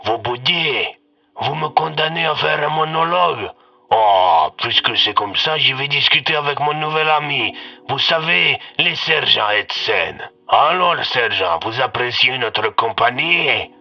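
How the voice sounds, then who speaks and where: Sadistic, falsely polite male villain, acted by a man, gravelly, theatrical drawl, muffled radio sound. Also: medium pitch, animated, voice pushed up. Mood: taunting. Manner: slow, theatrical, taunting. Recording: band-limited radio sound with music.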